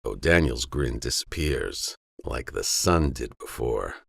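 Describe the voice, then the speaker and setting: A man narrating a western novella as an audiobook, in a measured, grave storytelling manner. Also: a bass voice, animated, gravelly, slightly rough, no room sound, clean studio sound.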